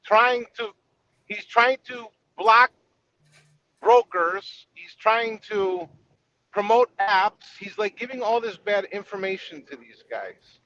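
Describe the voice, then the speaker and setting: A man's voice: sits high, slow pace, pushed and bright. A man talking casually with an aggrieved, complaining manner. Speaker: expedite trucking creator. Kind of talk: casual chat. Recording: dull lo-fi audio with faint noise.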